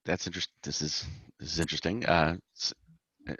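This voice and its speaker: Very deep man, dull dry sound. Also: steady tone, fairly quick, fairly bright tone, slightly rough, no room sound. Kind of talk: casual meeting discussion. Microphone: video call.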